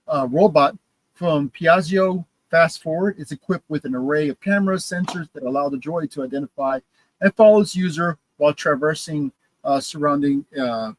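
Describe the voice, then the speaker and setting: A man co-hosting a casual podcast chat and reading out news items, animated and halting, with many fillers. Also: on the high side, no room sound, low-quality recording. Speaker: podcast co-host.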